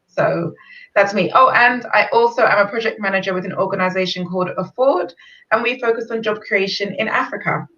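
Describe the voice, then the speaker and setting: A woman's voice: mid-range voice, a little fast, a bit bright. A woman, a storyteller and organization founder, speaking as an interview guest in a talkative, friendly way over a video call. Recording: dull distant call audio.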